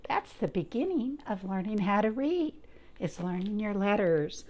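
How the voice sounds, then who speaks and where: A woman's voice: medium pitch, very animated, moderate pace, darkish tone. A woman leading a library storytime, chatting encouragingly to young children and asking them simple questions as she reads aloud.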